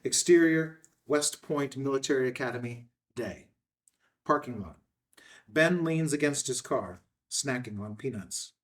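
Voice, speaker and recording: Male narrator, screenplay directions, scripted delivery, mid pitch, a little off mic. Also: a little slow, distant mic. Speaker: stage directions reader at a table read.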